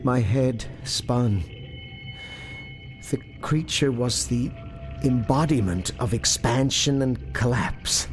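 Guy reading a horror story slow and hushed, gravelly voice, spooky music playing behind. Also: mid-range voice. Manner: tense, hushed, building to anguished cries. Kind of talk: dramatic horror audiobook narration. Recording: narration over eerie music.

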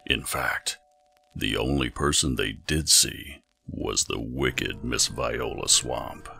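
A man narrating a children's storybook in a measured, dramatic storytelling manner. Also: a bass voice, vocal fry, bright, forceful tone, a little hiss or hum. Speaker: audiobook narrator.

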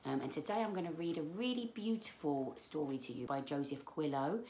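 A woman in her thirties reading aloud to children as a storyteller, expressive, with drawn-out emphasis on key words. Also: low voice, slight background noise. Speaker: children's book read-aloud presenter. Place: small living room, indoors.

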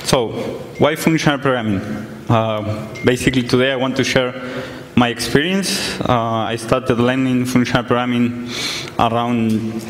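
A man's voice: medium pitch, steady tone, moderate pace, a little hiss or hum. A young man from Argentina giving a conference talk in a large hall, explaining plainly with many fillers. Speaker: conference speaker. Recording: echoey hall, some distance from mic.

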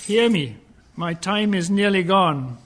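A man's voice: high-pitched, animated, slow, fairly bright tone, full character voice. An actor playing a tormented male ghost in a radio play, solemn and portentous in his warnings. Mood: grave warning. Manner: solemn, portentous, with wailing cries. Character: tormented, mournful male ghost of a dead business partner.

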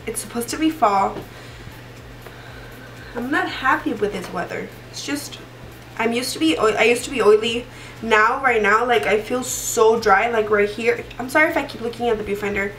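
A young woman with a cold and a fairly high voice chats away while doing her makeup, rambling and circling back with lots of fillers. She sounds a little stuffy. The sound comes from a camera mic a short way off, in a roomy, echoey room. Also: moderate pace, a bit bright, distant mic, a little hiss or hum. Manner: chatty and rambling, many fillers and restarts. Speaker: beauty vlogger. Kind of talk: casual makeup tutorial chat. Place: small room indoors. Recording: roomy echo, voice a bit off-mic.

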